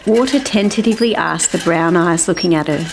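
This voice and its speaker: A woman's voice: fairly low pitch, a little fast, poor audio. A woman narrating a scripted children's story as its storyteller. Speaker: author and storyteller.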